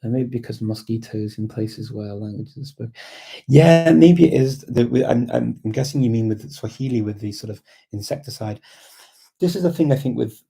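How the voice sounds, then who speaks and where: A man's voice: medium pitch, normal speed, creaky, dark tone, slightly rough. A man hosting a casual live chat, thinking aloud in a reflective, hesitant way and often repeating words as he goes. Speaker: livestream host and language learner.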